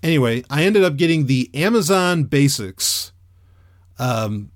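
A man with a loud, radio-trained voice, chatting casually as a podcaster reviewing a microphone. He rambles with many fillers and restarts. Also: on the high side, animated, unhurried, dry recording, studio quality.

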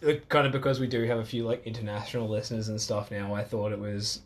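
A man's voice: on the low side, little pitch movement, quick pace. An Australian man hosting a casual podcast chat, jokey and self-mocking. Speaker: podcast host.

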